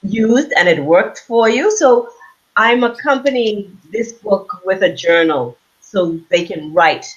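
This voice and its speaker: A woman's voice: mid-range voice, slow, breathy edge. A woman from the Bahamas explaining her work as an interview guest on an online call. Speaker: interview guest, aromatherapy business owner and author. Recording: dull lo-fi online call audio.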